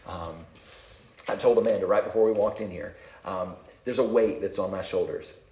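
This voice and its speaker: Male pastor, calm voice, lowish pitch, relaxed conversational preaching, roomy church echo. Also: moderate pace. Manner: conversational, self-deprecating, repeats words. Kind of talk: sermon. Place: church sanctuary.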